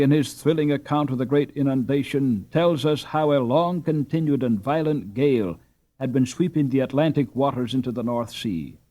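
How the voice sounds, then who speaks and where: Male audiobook narrator, mid-pitched voice, for scripted reading of a classic book. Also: warm tone.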